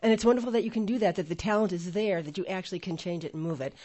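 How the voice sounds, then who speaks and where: Female host, low-pitched voice, effusive and warmly enthusiastic delivery. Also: rapid-fire. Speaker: radio show host. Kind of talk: live interview hosting.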